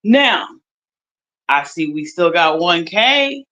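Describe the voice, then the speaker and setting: A young adult woman, chatting casually on a livestream, recovering from strep throat, drawing words out with slang and loud bursts. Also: on the low side, very animated. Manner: slow, drawn-out talk with loud bursts and slang. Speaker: YouTube streamer.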